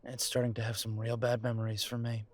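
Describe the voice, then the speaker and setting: A man's voice: medium pitch, completely flat delivery, fast talker, natural voice. A man playing a wounded, bitter werewolf son in an audio drama, guarded and weary with a sarcastic edge. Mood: hurt, weary. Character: bitter, wounded adult werewolf son confronting his parents. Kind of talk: audio roleplay drama acting.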